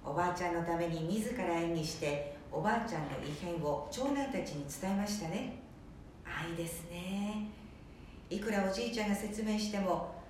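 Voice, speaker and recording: A woman's voice: mid-range voice, a little mellow, slight background noise. A woman hosting a small radio show, presenting from a script with cheerful, announcer-like emphasis. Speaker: host. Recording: echoey room from a distance.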